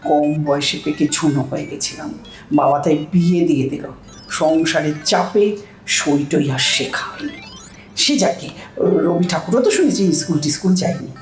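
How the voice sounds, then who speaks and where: A bossy, illiterate older housewife in a comic audio drama, acted by a woman, swings through a very lively range of pitch. She scolds, wheedles and boasts with great self-importance. The room rings around her and faint music plays underneath. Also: normal speed, roomy sound. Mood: smug.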